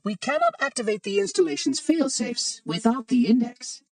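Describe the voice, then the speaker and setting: A man's voice: extremely high pitch, lively intonation, moderate pace, vocal fry, darkish tone, distant mic, full character voice. A man playing a prim, fussy, ancient robot monitor in a video game, speaking in a formal, precise, oddly cheery way with light robotic processing. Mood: clinical, pleased. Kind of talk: video game character acting.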